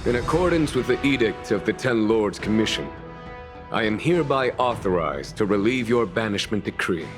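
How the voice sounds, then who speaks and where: An actor playing a composed, courteous military general in a fantasy game, speaking in formal, measured phrases. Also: male voice, fairly low pitch, lively intonation, natural voice. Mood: grave, courteous. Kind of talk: scripted game voice acting. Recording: background music.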